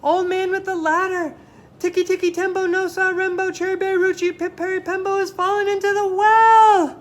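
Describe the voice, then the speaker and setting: A man's voice: very high voice, level tone, brisk, pitched above the performer's own voice. A man voicing a small, frantic boy pleading urgently in a storybook reading, calling out breathlessly. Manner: shouting long chant-like names breathlessly.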